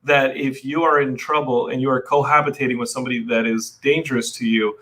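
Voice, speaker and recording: Bright-voiced joking man from Texas. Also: mid-range voice, fast, poor audio. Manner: animated, joking, rambling with restarts. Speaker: podcast host. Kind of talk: casual chat.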